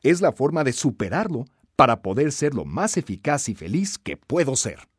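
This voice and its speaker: Animated male audiobook narrator. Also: mid-range voice, moderate pace, husky, dry recording, studio quality. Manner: emphatic, instructive, expressive. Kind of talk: scripted self-help narration.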